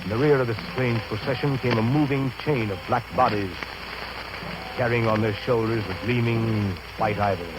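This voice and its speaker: An actor playing a grave, reflective riverboat captain, narrating in solemn, literary phrases for a 1930s radio drama. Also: male voice, medium pitch, fairly even intonation, normal speed, background noise, natural voice. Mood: solemn, brooding. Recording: old radio broadcast recording.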